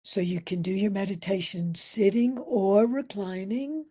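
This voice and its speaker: Very slow, soft, breathy woman guiding meditation. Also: medium pitch. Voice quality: soft, breathy, at times near whisper. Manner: soothing, gentle, with long pauses between phrases. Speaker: meditation instructor. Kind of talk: guided meditation.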